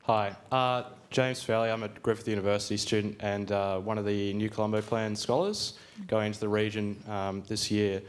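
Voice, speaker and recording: A man's voice: on the low side, fairly even intonation, normal speed, few pauses. A young university student in his twenties, asking a question politely and a bit tentatively.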